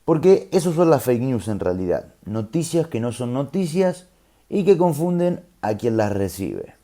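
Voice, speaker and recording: A man's voice: medium pitch, very animated, measured pace, warm tone. A man with a Rioplatense Argentine accent tells a scripted story in a conversational, explanatory way, with colloquial asides. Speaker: storyteller host.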